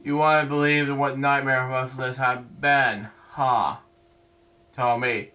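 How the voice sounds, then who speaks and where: A man's voice: medium pitch, fairly even intonation, slow, sharp tone. A man voicing a grumpy young man turned into a bear in a storybook, given to irritable complaining. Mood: relieved.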